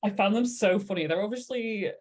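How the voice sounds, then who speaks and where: A woman's voice: medium pitch, a little fast, a little mellow. A young woman from Yorkshire interviewing an author in a casual, enthusiastic chat. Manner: enthusiastic and friendly, laughs often. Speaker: interviewer, book content creator.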